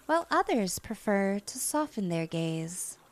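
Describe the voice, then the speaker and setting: A woman hypnotist gently narrating a guided relaxation, soothing and unhurried, with long pauses between phrases. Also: mid-range voice, sing-song, background noise.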